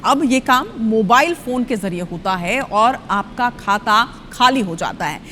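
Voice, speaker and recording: A woman's voice: a little high, lively intonation, a little slow, a bit bright, a little hiss or hum. A woman news anchor reading a scripted introduction to a report in a dramatic, emphatic way. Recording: long reverb, music underneath.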